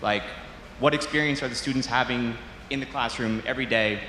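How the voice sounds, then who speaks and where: A man's voice: medium pitch, a little fast, pushed and bright. Male audience questioner, mid voice, earnest, echoey hall, faint noise. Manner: earnest, thinking aloud. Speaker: audience member asking a question. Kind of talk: question from the floor. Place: large conference hall.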